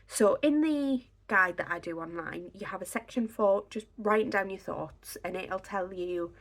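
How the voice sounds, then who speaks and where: Slightly croaky woman, chatty. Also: medium pitch, normal speed. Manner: chatty, filler-heavy, reassuring. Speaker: pregnancy vlogger. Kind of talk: casual instructional talk to camera. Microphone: camera on a tripod.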